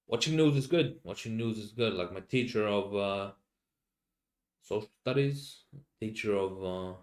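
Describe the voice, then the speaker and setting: A young man from Finland with a Finnish accent, speaking English as a second language, rambling casually as a solo podcast host and often pausing to search for words. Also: lowish voice, expressive, a little mellow. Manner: halting, many fillers and restarts, searching for English words.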